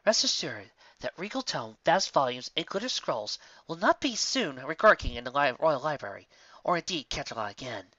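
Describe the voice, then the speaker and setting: Regal mare mentor, done by a man, stern, formal, bright and expressive, storybook letter, slightly dull recording. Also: fairly high pitch, no room sound, full character voice. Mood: stern but forgiving. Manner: formal, measured.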